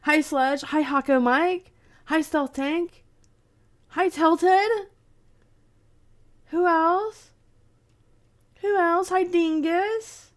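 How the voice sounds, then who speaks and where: A woman's voice: extremely high pitch, fairly even intonation, drawn-out words, pauses often. A young woman hosting a casual livestream and greeting her chat by name. She sounds congested and sniffly from a cold and laughs often. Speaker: streamer host.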